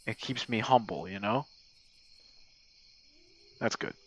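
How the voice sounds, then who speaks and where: A man's voice: fairly low pitch, slow, a bit bright. A man recording a casual ASMR-style voice message to a friend, rambling and hesitant in an informal way. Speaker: content creator recording an audio message. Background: crickets and night insect sounds.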